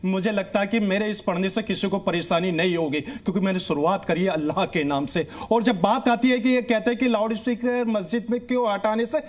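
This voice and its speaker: High-pitched man arguing in a debate. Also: a little fast, hardly any pauses. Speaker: debate panelist. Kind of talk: religious debate argument.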